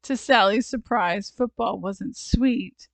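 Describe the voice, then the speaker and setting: A woman narrating a children's storybook aloud, expressive and deliberate in her phrasing. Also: mid-range voice, vocal fry, no room sound. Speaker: storybook reader.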